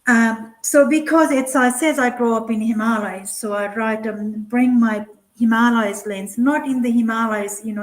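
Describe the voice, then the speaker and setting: Casting: middle-aged woman from Nepal, second-language English, slightly high voice, earnest keynote speaker with plenty of "um" and restarts. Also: poor audio. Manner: earnest, many fillers and restarts. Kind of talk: conference keynote talk.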